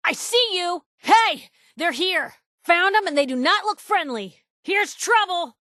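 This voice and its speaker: Casting: plucky young newswoman for a game, sardonic and slangy, very high, bright voice, dry close recording. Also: expressive, a little slow, dry recording, exaggerated voice. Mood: taunting, alert. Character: feisty young woman journalist, wry, plucky wasteland companion. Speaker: video game companion character. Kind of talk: video game voice acting, short combat and banter lines.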